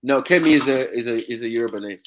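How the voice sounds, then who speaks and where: A man's voice: mid-range voice, quick pace, crowd noise. A man in a casual live panel chat, teasing and laid-back.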